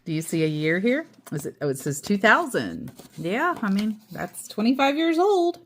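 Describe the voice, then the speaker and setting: A woman reading a children's picture book aloud in an expressive, deliberate storyteller's manner. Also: medium pitch, slight background noise.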